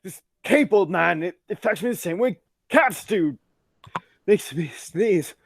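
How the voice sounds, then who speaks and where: An actor playing a fussy, pompous old-fashioned gentleman sidekick in a 1940s-style radio play, with affected exclamations. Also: high-pitched, animated, vocal fry, dry recording, studio quality, exaggerated voice. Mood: flustered. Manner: affected, pompous, drawn-out delivery.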